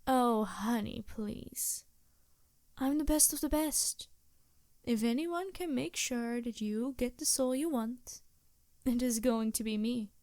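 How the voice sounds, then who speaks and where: A woman's voice: fairly high pitch, takes it slowly, a little mellow, dry recording, studio quality. A woman playing a vain, seductive succubus demon in an ASMR roleplay, languid, teasing and theatrical. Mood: vain, flirtatious. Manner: languid, flirtatious, theatrical, sighing. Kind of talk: ASMR roleplay, improvised character acting.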